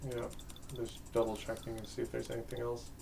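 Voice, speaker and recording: Gravelly man building a deck. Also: mid-range voice, monotone, a little fast, noisy background. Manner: laid-back, short replies while clicking through menus. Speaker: stream co-host. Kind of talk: casual chat while building a deck. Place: game store.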